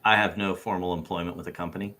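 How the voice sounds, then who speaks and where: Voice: sits low, fairly even intonation, quick pace, bright, forceful tone. A reader acts out a male businessman testifying in a deposition. He is evasive and noncommittal, hedging his answers as the transcript is read aloud. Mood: guarded.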